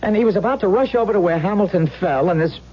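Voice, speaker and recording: An anxious policeman in a vintage radio drama. His voice is husky and he stammers intensely, as if haunted. The sound is dull and muffled. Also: high voice, quick pace. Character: anxious, haunted male policeman confiding in wife. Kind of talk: 1970s radio drama acting.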